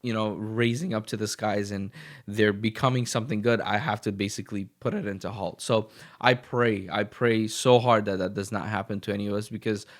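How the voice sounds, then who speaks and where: A man with a fairly low voice hosts his podcast alone, speaking close to the mic. He is a bit sniffly from a recent flu and rambles through pep talk and news with many restarts. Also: fairly even intonation, brisk. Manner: rambling and motivational, with frequent restarts. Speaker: podcast host. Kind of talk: casual solo podcast monologue.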